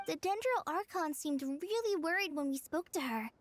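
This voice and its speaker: A woman's voice: very high-pitched, expressive, moderate pace, dry recording. A woman playing an earnest, timid young apprentice ranger girl in an anime-style video game. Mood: worried. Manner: earnest, polite.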